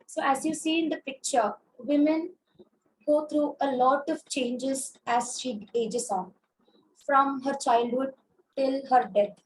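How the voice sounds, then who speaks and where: A woman with an Indian English accent giving a webinar lecture as a physiotherapist, hoarse from a throat infection, explaining with many fillers and restarts. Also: high-pitched, steady tone, slow pace, a little hiss or hum, rough recording. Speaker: consultant physiotherapist. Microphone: video call.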